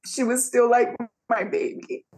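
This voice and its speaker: A middle-aged woman speaking about her late daughter in an interview over a tablet video call, with tearful, halting speech. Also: fairly high pitch, level tone, a little mellow. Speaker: grieving mother interviewed.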